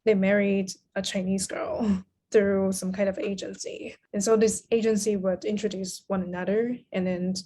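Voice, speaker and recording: A woman's voice: medium pitch, level tone, moderate pace, mellow. A woman from Taiwan speaking as a podcast guest in a casual, conversational discussion of a book.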